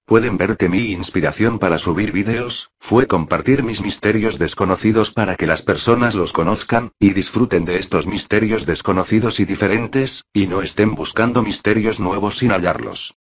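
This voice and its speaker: A man in his thirties, a gaming YouTuber, calmly explaining things in voice-over narration. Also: fairly low pitch, moderate pace, few pauses, slightly rough. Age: 30s. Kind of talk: voice-over narration over gameplay footage. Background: background music.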